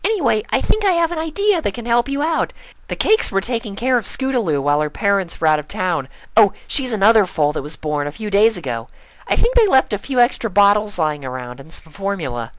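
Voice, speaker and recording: Man putting on a high-pitched, excitable girly cartoon pony. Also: brisk, no room sound, poor audio. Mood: bubbly, eager. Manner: excitable, chatty, emphatic. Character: hyperactive, kind, protective female pink cartoon pony.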